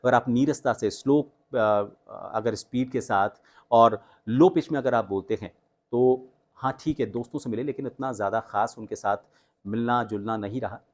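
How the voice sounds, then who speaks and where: A man speaking as a voice coach, explaining techniques in an instructional way with deliberate emphasis on key words. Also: mid-range voice. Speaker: voice coach and host. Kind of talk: instructional tutorial with spoken demonstrations.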